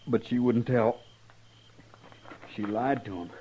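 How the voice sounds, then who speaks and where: Male actor as dying young Western outlaw: weak, halting drawl, slow delivery, bitter resignation, noisy vintage radio sound. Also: mid-range voice, dark tone, close to an everyday voice. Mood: weary, resigned. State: weak and labored from a gunshot wound. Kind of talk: old-time radio Western acting. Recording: muffled, noisy old radio recording.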